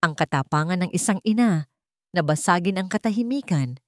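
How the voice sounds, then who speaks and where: Storybook king, male, grave and cold, commanding judgment read by a woman with a lowish voice. Dry, close recording. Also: expressive, brisk, no echo, close to an everyday voice. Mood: solemn, reflective. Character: stern, solemn male king passing judgment. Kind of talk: dramatic storybook character voice.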